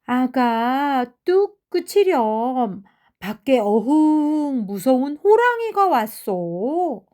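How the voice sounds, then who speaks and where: A woman playing a storybook mother who soothes and scolds her crying baby in a drawn-out, coaxing way. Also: high voice, sing-song, warm tone, full character voice. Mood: coaxing, warning.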